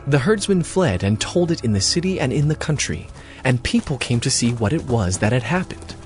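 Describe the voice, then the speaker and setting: A man's voice: mid-range voice, very animated, brisk, soft background music. A man reading scripted narration for a dramatized audio Bible.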